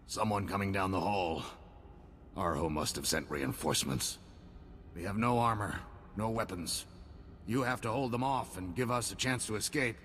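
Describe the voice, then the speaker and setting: A stubborn captured commander from a game cutscene, voiced by a man, with a very deep voice and a dry, wry way of talking. Faint hiss sits under him. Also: moderate pace, fairly bright tone, close to an everyday voice. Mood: urgent. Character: defiant captured male Republic commander, dry-witted. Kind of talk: video game cutscene acting.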